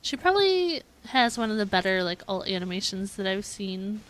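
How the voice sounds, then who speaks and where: A woman's voice: medium pitch, very animated, moderate pace, poor audio. A woman giving casual gameplay commentary on a stream, musing to herself as she plays. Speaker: game streamer.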